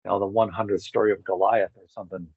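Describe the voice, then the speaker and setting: A man in a casual speculative discussion, theorizing in a rambling, animated way. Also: low-pitched, fast, slightly rough, no room sound, phone-quality sound.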